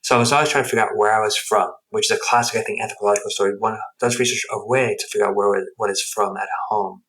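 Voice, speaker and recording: A man's voice: on the low side, steady tone, fast talker, fairly bright tone, low-quality recording. A man who grew up speaking Spanish and English, telling his story as a podcast guest in a reflective, rambling way with many restarts. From United States. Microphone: headset earphones.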